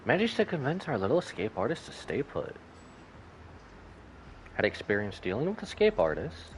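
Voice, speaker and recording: A man's voice: medium pitch, wildly swooping pitch, moderate pace, a little hiss or hum. A man live streaming a game, casually commenting and reading dialogue aloud.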